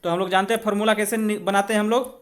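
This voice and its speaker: A man with an Indian accent and a notably high voice teaches like an exam coach. He talks through each step conversationally. He keeps checking in with short rhetorical questions. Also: fairly even intonation, rapid-fire. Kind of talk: spoken maths and chemistry tutorial.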